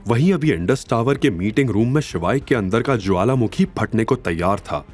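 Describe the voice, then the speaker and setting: A man's voice: fairly low pitch, lively intonation, normal speed, a little mellow, soft background music. A man narrating a scripted story in a dramatic, suspenseful manner.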